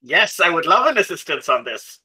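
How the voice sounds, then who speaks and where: Very bright, higher-pitched man playing a game. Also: very animated, normal speed, husky. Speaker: tabletop game player. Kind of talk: casual improvised game chat.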